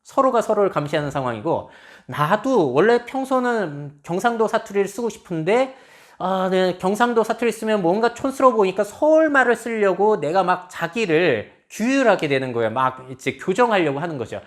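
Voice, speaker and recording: A woman's voice: mid-range voice, expressive, normal speed. A woman lecturing on social change, explaining step by step and asking her audience rhetorical questions. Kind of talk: lecture on future trends.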